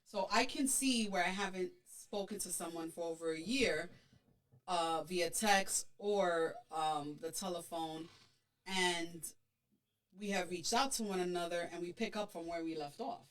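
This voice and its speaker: A woman's voice: on the low side, a little slow. Chatty woman podcast co-host. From Puerto Rican background. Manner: rambling, many restarts and fillers. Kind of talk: casual chat with live audience comments.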